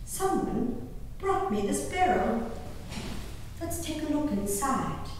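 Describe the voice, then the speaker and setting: A woman performing a dramatic monologue as a genteel, devoted, anxious colonial wife and mother, in a theatrical, measured manner. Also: high-pitched, very animated, exaggerated voice. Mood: curious, alarmed. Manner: theatrical, measured, with exclamations and pauses. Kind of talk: dramatic stage monologue reading of a diary. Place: reverberant performance hall. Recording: echoey hall from far off, background noise.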